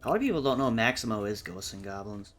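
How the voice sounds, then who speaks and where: A man's voice: on the low side, very animated, quick pace, faint music behind. A man chatting informally and with some swearing on a live-streamed panel about entertainment. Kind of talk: casual group chat about games and films.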